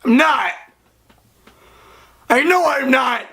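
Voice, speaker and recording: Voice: high voice, takes it slowly, breathy edge, exaggerated voice. A voice actor doing a sobbing, hot-headed young man. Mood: resentful. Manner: tearful shouting outburst. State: crying. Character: hot-tempered young man with wacky hair, deeply hurt. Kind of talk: dramatic voice acting.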